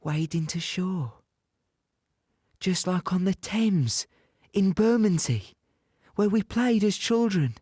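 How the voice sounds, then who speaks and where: An actor playing a plainspoken, weary infantryman in an audio play, reminiscing in a halting, reflective, conversational way. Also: sits high, lively intonation, warm tone, husky, no echo, clean studio sound, exaggerated voice. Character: young British infantryman recounting war, plainspoken, weary, wistful. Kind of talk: audio play monologue, reminiscing in present tense.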